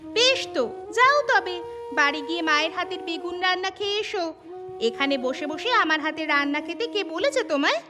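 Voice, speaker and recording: High-voiced, nagging cartoon housewife. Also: female voice, expressive, over music. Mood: sulky, cross. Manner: nagging, quick to retort. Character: sharp-tongued, touchy young housewife and mother. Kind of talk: Bengali cartoon story acting.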